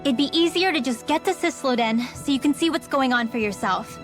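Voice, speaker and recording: A woman's voice: high voice, a little fast, natural voice. A woman playing a guarded, earnest young villager with secret powers in a video game. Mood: explaining. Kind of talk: video game cutscene acting. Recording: music behind.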